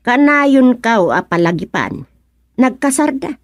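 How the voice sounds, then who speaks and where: A woman's voice: sits high, lively intonation, unhurried, no room sound. A gossipy village woman from a radio comedy-drama. She has an Ilocano accent and takes her time over the words. Her melody rises and falls widely, and the recording is very dry.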